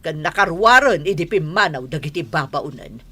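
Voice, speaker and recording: Casting: scornful wealthy aunt, sharp forceful voice, very animated, radio drama. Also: female voice, deep voice, normal speed. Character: haughty, scornful rich aunt who runs the household. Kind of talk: Filipino radio drama acting.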